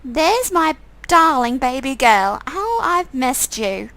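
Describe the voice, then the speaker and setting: A woman plays a doting mother from a visual novel game. She speaks in a high voice with some creak, affectionate and teasing toward her grown child. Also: sing-song, slow pace, fairly bright tone, no room sound, exaggerated voice.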